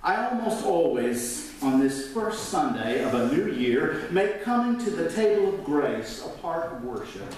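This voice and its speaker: Male pastor, 50s, slow and highly animated sermon delivery, heard from afar in a roomy sanctuary. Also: slight background noise. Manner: dramatic preaching, swelling up then dropping to near whisper; gestures widely at the pulpit. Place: large church sanctuary. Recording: echoey church from a distance.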